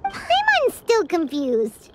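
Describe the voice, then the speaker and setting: A woman voicing a tiny, excitable, childish floating fairy companion in a video game. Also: very high voice, takes it slowly, noisy background, heavily acted. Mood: puzzled, eager. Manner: childish, exclamatory, speaks in third person. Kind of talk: scripted video game dialogue. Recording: loud music behind the voice.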